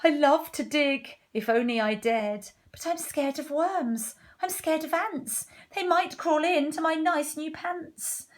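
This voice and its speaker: A woman voicing a timid, panicky male cook from a children's storybook, with drawn-out fearful wails. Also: high-pitched, pitched above the performer's own voice. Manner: wailing, drawn-out fearful cries. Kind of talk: children's storybook read-aloud.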